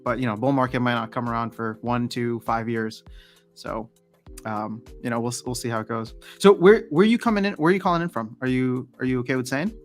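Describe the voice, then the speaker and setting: A man's voice: mid-range voice, fairly quick. A man hosts a livestream in a loose, rambling way and often restarts his sentences. He talks over faint background music. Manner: relaxed, rambling, frequent restarts. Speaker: livestream host. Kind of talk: casual chat.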